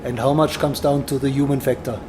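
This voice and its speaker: A man's voice: mid-range voice, fairly even intonation, fairly quick, background noise. A man hosting an interview and putting questions to his guest. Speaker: interviewer, host.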